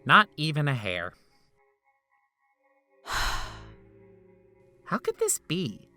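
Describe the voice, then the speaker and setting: A man's voice: on the high side, wildly swooping pitch, very slow, sharp tone, soft background music, full character voice. A man voicing a sarcastic, impatient male doctor, wry and exasperated. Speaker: voice actor in a fan game dub.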